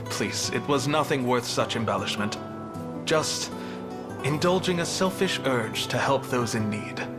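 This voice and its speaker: Man playing a courtly, mid-pitched mysterious wanderer. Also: expressive, unhurried, music in the background, close to an everyday voice. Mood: courteous, modest. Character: courtly, modest, guarded male wanderer. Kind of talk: video game voice acting.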